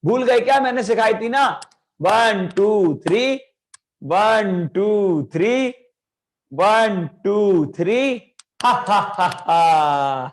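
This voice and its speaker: Young male teacher, high-pitched, sore throat, energetic and coaxing, on a video call. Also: very slow. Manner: energetic, coaxing kids to shout. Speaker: children's class teacher. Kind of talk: online children's class.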